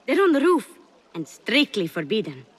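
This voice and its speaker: An actress playing a naive, eager young palace servant in a video game, speaking earnestly and hesitantly. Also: female voice, a little high, big pitch swings, normal speed, husky, in a large hall. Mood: conspiratorial. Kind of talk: video game cutscene acting.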